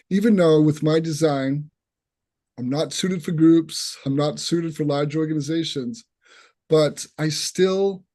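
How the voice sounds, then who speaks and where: A man's voice: on the high side, warm tone. A man with a Boston accent, introducing himself as an instructor on a video call and telling his story in an earnest way. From northeastern United States. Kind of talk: online open house self-introduction.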